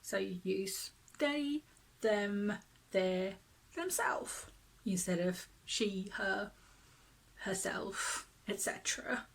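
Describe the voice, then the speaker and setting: A person whose first language is Finnish, reading their own zine aloud in a casual, soft-spoken way that often drops to a half whisper. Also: female voice, mid-range voice, very slow, vocal fry, rough recording. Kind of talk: casual reading at a virtual open mic.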